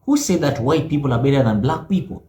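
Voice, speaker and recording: A man's voice: mid-range voice, animated, fast talker, mellow, roomy sound. A man hosting a reaction video, commenting in emphatic, preachy asides.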